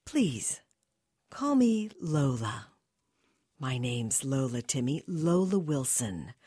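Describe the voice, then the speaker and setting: Sly, wealthy older temptress, played by a woman reader: soft, breathy, low-pitched, coaxing and soothing. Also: sing-song, no echo, clean studio sound, exaggerated voice. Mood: seductive. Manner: cooing, slow, soothing.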